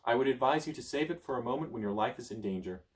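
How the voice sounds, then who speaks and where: Polite gentle flying horse, guy reading it, kinda sing-songy. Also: medium pitch, expressive, fast talker, natural voice. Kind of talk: storybook reading.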